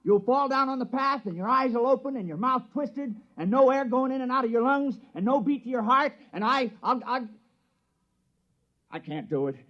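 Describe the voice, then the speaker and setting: A dull old radio recording of a gentle, folksy village fellow. His voice sits very high for a man. He sounds earnest and apologetic, with a hesitant way of getting to the point. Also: animated, moderate pace. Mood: nervous bravado. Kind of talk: old radio drama acting.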